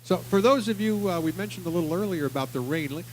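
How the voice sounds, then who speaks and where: A New man hosting a casual radio show with a band, his voice sitting slightly high and often trailing into restarts. A faint hum lies underneath, with rain drumming on a tin roof. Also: expressive, fast, poor audio. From Long Island, New York. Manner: friendly, rambling, with restarts. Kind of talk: casual interview with a live band.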